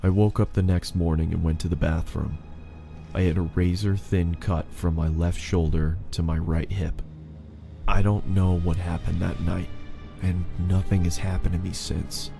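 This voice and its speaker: Low-voiced guy telling a creepy story, bit of vocal fry, music playing behind him. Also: little pitch movement, normal speed, dark tone. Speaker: narrator of a first-person horror story. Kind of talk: scripted scary-story narration.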